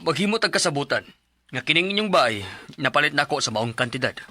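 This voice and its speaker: A man's voice: fairly high pitch, very animated, fast talker, pushed and bright, full character voice. A man acting a decisive, businesslike buyer in a radio drama.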